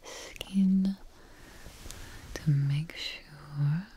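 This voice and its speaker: A young woman playing a devoted, tired scientist tending her creation in a breathy, gentle whispered ASMR roleplay, close to the mic. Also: deep voice, slight background noise. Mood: tender, awed. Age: young adult. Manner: slow, breathy, gentle, pausing. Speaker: ASMR performer. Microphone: close ASMR microphone.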